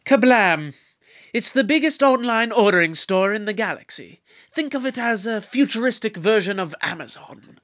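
A man's voice: sits high, expressive, fairly bright tone. A man voicing an eccentric, breezy male time traveler in a fan audio drama, talking in a light, offhand way. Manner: light, offhand, drawn-out delivery.

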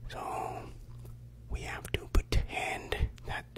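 A young man whispering ASMR into a very close microphone, confiding and playfully coaxing the listener. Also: slow pace. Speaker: ASMR creator. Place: bedroom indoors. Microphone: large desktop microphone, very close.

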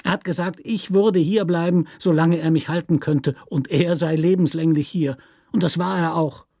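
An actor playing a hard, resentful convict in a radio play, with a nasal, whining, hissing voice that pleads and threatens. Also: male voice, a little high, steady tone, moderate pace, dark tone, no echo, clean studio sound, voice pushed up. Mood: bitter whining. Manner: pleading, aggrieved, snarling threats. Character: hard, lean male convict, resentful, cornered, whining and menacing.

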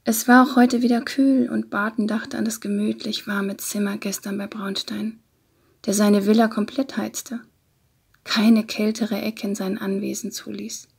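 A woman reading literary narration in a breathy voice that often slips toward a whisper. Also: medium pitch, flat delivery, measured pace, darkish tone. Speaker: audiobook narrator.

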